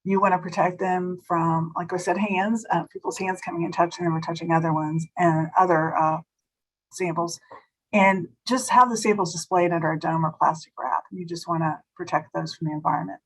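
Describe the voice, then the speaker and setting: Slightly hoarse woman presenting. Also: fairly low pitch, steady tone, poor audio. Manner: explanatory, slightly halting with restarts. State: slightly hoarse, losing her voice. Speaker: state food safety inspector presenting. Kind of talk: webinar presentation.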